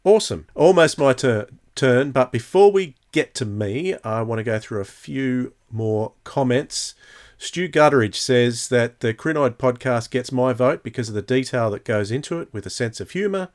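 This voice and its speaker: Halting man hosting a casual podcast. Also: medium pitch, animated. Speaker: podcast host. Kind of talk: casual podcast talk, reading out listener comments.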